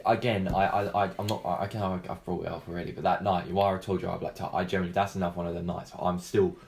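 A teenage boy in a casual chat with friends, hesitant and stumbling over his words, quick to laugh. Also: low-pitched, level tone, vocal fry, a little mellow, slight background noise. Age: teens. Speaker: podcast co-host.

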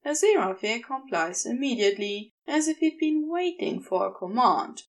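A woman narrating a piece of fiction as an audiobook reader, with measured, intimate storytelling. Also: mid-range voice. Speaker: podfic reader.

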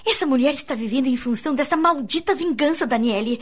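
A woman's voice: high-pitched, quick pace, lightly acted. An actress playing a troubled, emotional woman confiding in a friend in a radio drama, with a Brazilian accent. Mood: anxious, distressed. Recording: dull, lo-fi radio drama recording.

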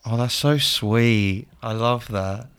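Male podcast host, smooth voice, dry close sound, short encouraging replies. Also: mid-range voice, takes it slowly, fairly bright tone, no room sound. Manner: short encouraging backchannels and questions. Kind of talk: interviewing.

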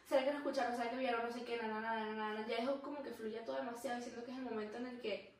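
High-voiced woman podcast co-host, rambling and easygoing, recorded off-mic in a roomy space. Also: fairly even intonation, rapid-fire, far from the mic. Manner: laughs easily, talks in long rambling runs with restarts. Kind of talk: casual chat. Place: room where the podcast is filmed. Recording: very echoey room, mic set back.

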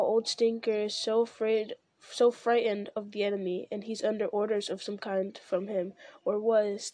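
A woman's voice: mid-range voice, mellow, dry recording, close to an everyday voice. A woman reading aloud and voicing a loyal, plain-spoken male servant who grumbles earnestly to himself.

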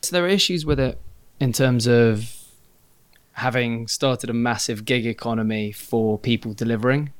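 A man's voice: medium pitch, moderate pace, no room sound. A British man co-hosting a podcast, explaining things thoughtfully with careful diction. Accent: British English. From Britain. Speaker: podcast co-host. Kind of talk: casual podcast chat.

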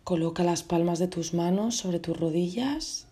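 A woman with a lowish, very mellow and relaxed voice leads a guided meditation. She gives her instructions gently, in short phrases, leaving space between them. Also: a little slow.

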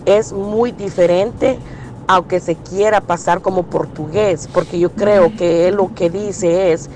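A woman's voice: lowish voice, steady tone, slow pace, background noise. A Salvadoran woman with a Salvadoran accent calling in to a radio show, earnestly explaining her point at length. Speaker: caller.

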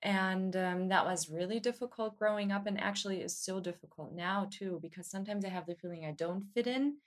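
A woman's voice: medium pitch, a little fast. A woman from Germany speaking casually and reflectively as an interview guest, hesitantly searching for her words. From Freiburg, Germany.

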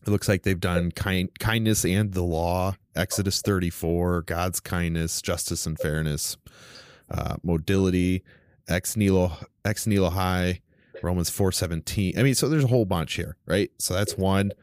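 A man in his thirties with a low voice hosts a casual podcast discussion. The sound is dry, with little room around it. He gropes a little for his words as he goes. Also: fairly even intonation, normal speed, no room sound. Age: 30s. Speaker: podcast host. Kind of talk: casual theology podcast chat.

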